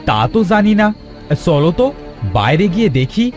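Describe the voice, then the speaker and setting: Man playing a high-pitched anxious village father. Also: big pitch swings, unhurried, darkish tone, soft background music. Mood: puzzled, alarmed. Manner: earnest, anxious, melodramatic. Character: frightened, pleading village husband and father. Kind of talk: cartoon fairy tale voice acting.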